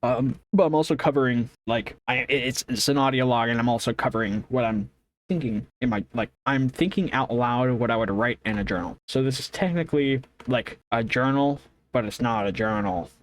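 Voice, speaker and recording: A man's voice: mid-range voice, moderate pace, slight background noise. A man thinking aloud in a casual, rambling audio journal, unsure of himself, often trailing off and rephrasing in loose slang. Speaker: vlogger recording an audio journal.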